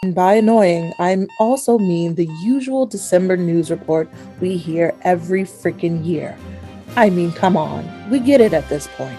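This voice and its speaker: Woman as a mellow, low, wry narrator. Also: lively intonation, moderate pace, faint music behind. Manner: mock-dramatic, wry. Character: wry, deadpan female storyteller narrator. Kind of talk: scripted comic radio play narration.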